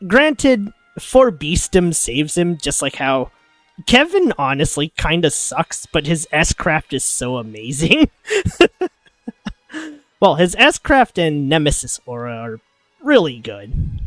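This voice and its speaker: Animated, sniffly guy breaking down a game. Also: fairly high pitch, slow, no echo, soft background music. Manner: casual, swears and laughs a lot. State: sniffly, coming down with a cold. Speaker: streamer.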